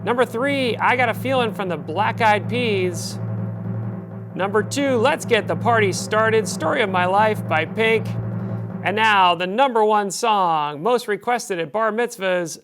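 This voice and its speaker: A man's voice: high voice, animated, measured pace, over music. A man hosting a TV talk show, introducing guests and reading a countdown list in an upbeat announcer style.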